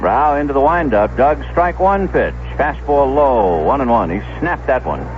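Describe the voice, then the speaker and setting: A man doing 1970s radio baseball play-by-play, measured and descriptive, telling small stories between pitches. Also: big pitch swings, a little hiss or hum. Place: stadium broadcast booth. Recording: dull lo-fi vintage AM radio broadcast.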